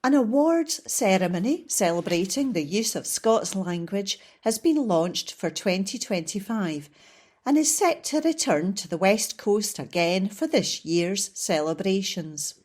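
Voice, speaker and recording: A woman volunteer reading newspaper articles aloud in a steady, measured way. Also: medium pitch. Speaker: volunteer reader.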